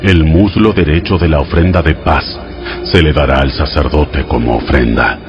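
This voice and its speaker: Deep, solemn voice of God over music. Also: male voice, highs cut off. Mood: solemn, commanding. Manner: solemn, measured, authoritative. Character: God, solemn commanding male divine lawgiver. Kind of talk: dramatized audio Bible acting.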